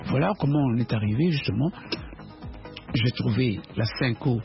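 A man's voice: medium pitch, gravelly. A man from the Democratic Republic of the Congo with a Congolese French accent, speaking as an interview guest in a combative, emphatic way full of rhetorical questions. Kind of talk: argumentative political interview. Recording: dull lo-fi radio sound, faint music.

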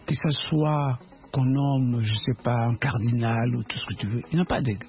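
A man's voice: medium pitch, fairly even intonation, normal speed. A man from the Democratic Republic of the Congo with a Congolese French accent, speaking as an interview guest in a combative, emphatic way full of rhetorical questions. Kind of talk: argumentative political interview. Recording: dull lo-fi radio sound, faint music.

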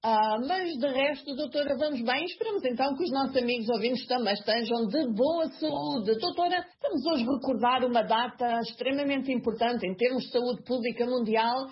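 A woman hosting a radio health segment, chatty and repeatedly addressing her doctor guest, with a husky voice. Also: high-pitched, moderate pace, few pauses. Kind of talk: radio health program presenting. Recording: dull radio broadcast sound.

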